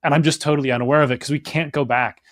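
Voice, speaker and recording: A young man from Minnesota, an author answering interview questions in a quick, animated way, full of "like" and "right?". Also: on the high side, no echo, clean studio sound. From Minnesota, United States. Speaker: author and guest.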